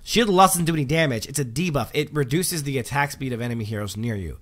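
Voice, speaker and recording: Guy streamer reading patch notes out loud, rambly, lots of ums, average pitch. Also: lively intonation, quick pace. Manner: chatty, rambling, many um fillers and restarts. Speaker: livestream host. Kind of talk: casual livestream chat, reading patch notes.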